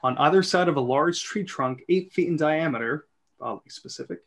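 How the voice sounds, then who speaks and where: A man's voice: a little high, normal speed, vocal fry, a bit bright. A man narrating screenplay stage directions in an amateur group reading.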